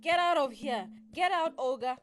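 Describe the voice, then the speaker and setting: A woman voicing a frightened young girl who shouts from behind a door in an audio drama. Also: high-pitched, animated, a little slow, soft background music. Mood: defiant.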